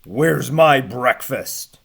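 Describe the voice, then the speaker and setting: Man acting a slow, grumbling storybook giant. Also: mid-range voice, animated, vocal fry, fairly bright tone, husky, full character voice. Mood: bellowing, annoyed. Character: grumbling, hungry, bellowing male giant.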